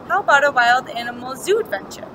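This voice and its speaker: A woman voicing an eager, adventurous kindergarten girl from a storybook, in an excitable way. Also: sits high, fast talker, background noise. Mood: curious, keen.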